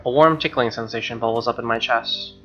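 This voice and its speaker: A young male streamer with a bright, forward voice reads a visual novel aloud and reacts casually. The sound is dull and lo-fi, with game music underneath. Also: mid-range voice, brisk. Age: young adult. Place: small room indoors.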